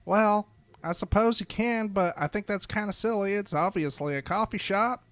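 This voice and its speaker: A man's voice: high voice, moderate pace, no room sound, voice pushed up. A man putting on a chirpy cat-eared barista creature. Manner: bright and chirpy.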